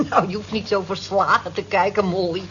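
A woman's voice: medium pitch, steady tone, moderate pace, lightly acted. An actress in an old radio play voicing a worldly-wise, teasing cleaning woman with a dry, scoffing, gossipy manner. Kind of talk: vintage radio play acting. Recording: dull, hissy old radio play recording.

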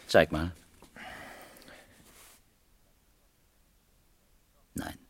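An actor playing a senior police detective in a German crime radio play, avuncular and deliberate, questioning in a dryly instructive way. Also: male voice, lightly acted. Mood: dry, probing. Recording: vintage radio play with noise.

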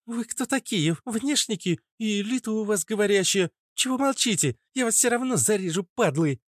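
A man voicing a defiant, foul-mouthed teenage girl with a squeaky, snappy voice in a narrated story. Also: expressive, normal speed, dry recording, studio quality. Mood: hostile snarling. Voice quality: squeaky, shrill. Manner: snappy, hissing, insolent.

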